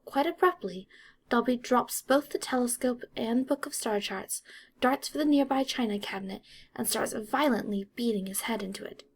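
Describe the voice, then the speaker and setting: A woman's voice: on the high side, breathy edge. A woman narrating an audiobook, reading scripted fiction as dramatic storytelling.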